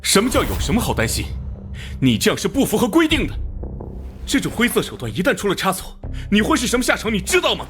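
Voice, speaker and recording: An actor playing a hot-tempered but rule-minded police captain in an animated crime drama, blunt and commanding. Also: male voice, high-pitched, fast talker, soft background music, full character voice. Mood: exasperated.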